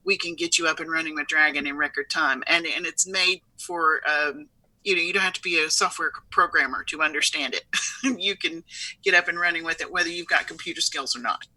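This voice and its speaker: A middle-aged woman whose voice is very bright and sharp, guesting on an interview with upbeat enthusiasm and frequent laughs. The recording is dry and close. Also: deep voice, steady tone, a little fast, dry recording, low-quality recording. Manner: upbeat, laughs often, emphatic. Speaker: company chief executive, interview guest. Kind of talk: conversational interview.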